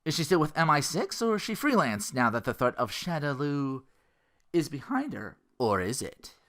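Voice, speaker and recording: Animated, rambling gaming podcast host. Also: mid-range voice, moderate pace. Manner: rambling, animated asides, profane, acts out reactions. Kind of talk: casual solo gaming commentary.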